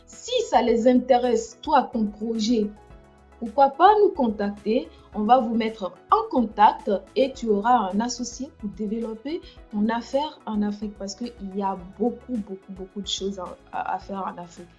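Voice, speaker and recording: Livestream host, woman, slightly high and mellow, halting delivery full of "euh", dull lo-fi audio. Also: faint music behind. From France. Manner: hesitant, many fillers and restarts. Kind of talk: casual livestream chat.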